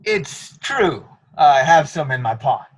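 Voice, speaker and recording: A man playing an earnest, matter-of-fact furry beast in an irreverent radio play, with a husky voice. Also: a little high, lively intonation, slow pace, heavily acted.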